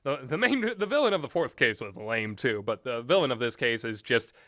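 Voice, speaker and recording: A man's voice: medium pitch, animated, fairly quick, fairly bright tone, no room sound, studio quality. A man giving casual, rambling and opinionated commentary on video games, often hesitating as he talks. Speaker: video game reviewer.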